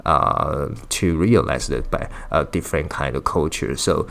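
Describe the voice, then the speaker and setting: A man whose first language is Chinese, giving a casual language-learning talk in English, halting with frequent fillers and restarts. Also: very deep voice, gravelly, a bit bright. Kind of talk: casual talking-head explainer.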